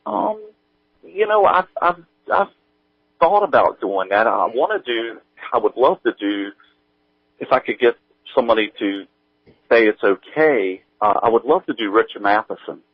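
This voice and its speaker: A man chatting casually as an interview guest, thinking aloud in a halting, rambling way. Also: medium pitch, animated, no echo, dull audio. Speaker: guest, audio drama writer and producer.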